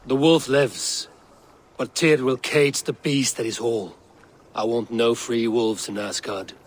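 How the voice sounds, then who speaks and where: An actor playing a wrathful Norse god in a video game, speaking in an imperious, suspicious, declamatory way. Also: male voice, medium pitch, fairly even intonation, measured pace, natural voice. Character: wrathful, commanding Norse god, male wanderer and warrior. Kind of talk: video game cutscene acting.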